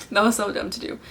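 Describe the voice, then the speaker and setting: A teenage girl hosting her own podcast, her voice worn hoarse and scratchy from yelling. She chats along eagerly at a mid pitch, stuffing her sentences with "like" and little asides, with a soft hum of ambience behind her. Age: late teens. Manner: bubbly, filler-heavy, says "like" constantly. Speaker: podcast host. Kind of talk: casual chat.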